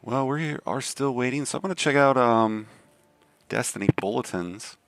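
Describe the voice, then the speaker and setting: A man from the Southern US with a deep voice and a Southern accent, chatting casually on a game live stream. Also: moderate pace, fairly bright tone, dry recording. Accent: Southern American. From Southern United States. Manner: laid-back, rambling with many fillers.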